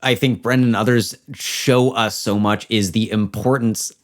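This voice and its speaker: A man's voice: fairly low pitch, fairly even intonation, moderate pace. A man hosting a casual interview as a game master, speaking informally and thinking aloud. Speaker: podcast host and game master.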